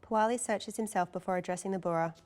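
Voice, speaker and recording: A woman's voice: medium pitch, fast, a little mellow. A woman reading scripted narration and stage directions as narrator at a table read.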